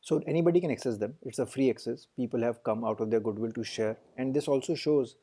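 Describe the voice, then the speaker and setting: A man with an Indian English accent, an interview guest who speaks fast and earnestly, stacking up points with plenty of fillers. His voice is gravelly and dark, with a mellow tone. He talks quickly throughout. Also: mid-range voice. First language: Hindi. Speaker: lawyer, guest. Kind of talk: interview conversation.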